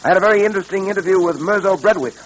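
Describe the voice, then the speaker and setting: Guy doing a fast talking serious cop hero, old radio show, bit of hum behind him. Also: sits high, close to an everyday voice, low-quality recording. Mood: businesslike. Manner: measured wording, serious, businesslike. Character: earnest, determined male police detective. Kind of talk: old-time radio drama acting.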